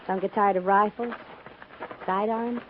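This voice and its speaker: A woman's voice: mid-range voice, fairly even intonation, a little slow, close to an everyday voice. An American woman acting a self-reliant, playfully teasing frontier woman in a 1950s radio drama, with a wry, easygoing manner. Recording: muffled 1950s radio recording, faint noise.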